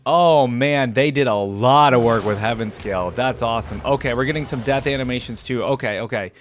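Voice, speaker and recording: A man with a mid-range voice gives off-the-cuff commentary as a reaction host. He speaks informally, thinking aloud and exclaiming, with music clearly audible behind him. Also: medium pitch, brisk. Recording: music and game audio behind voice.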